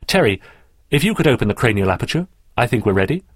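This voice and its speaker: A man's voice: medium pitch, sing-song, fast, no echo, studio quality. A man voicing an earnest schoolboy inventor, proud and self-assured, in a children's story. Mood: serious, intent.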